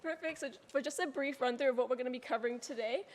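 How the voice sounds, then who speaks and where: A young New Zealand woman, a student, presenting to an audience in a friendly, clear way. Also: high voice, racing, in a large hall, slight background noise. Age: young adult.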